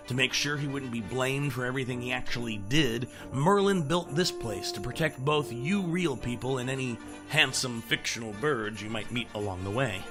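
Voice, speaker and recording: A man's voice: mid-range voice, natural voice. A man voicing a boastful, sarcastic bird who narrates a comic audio drama in a flippant, theatrical way.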